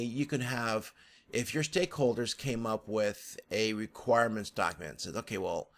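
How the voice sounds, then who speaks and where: A man's voice: mid-range voice, fairly bright tone. A man giving an informal slide tutorial as an instructor, explaining step by step with some hesitant, repeated phrasing. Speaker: course instructor.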